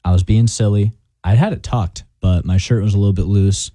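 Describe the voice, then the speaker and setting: A man's voice: on the low side, steady tone, a little fast, warm tone, dry recording. A young man in his twenties, a college student and guest, chatting casually and rambling on with frequent laughs. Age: 20s. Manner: easygoing, rambling, laughs often, keeps saying "I'll tell you what". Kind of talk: casual on-air chat.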